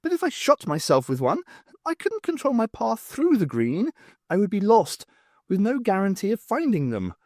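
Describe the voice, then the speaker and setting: Man putting on a high-voiced ancient alien poet. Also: wildly swooping pitch, moderate pace, darkish tone, dry recording, studio quality, heavily acted. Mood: regretful. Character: ancient male alien poet, wistful rebel, last survivor.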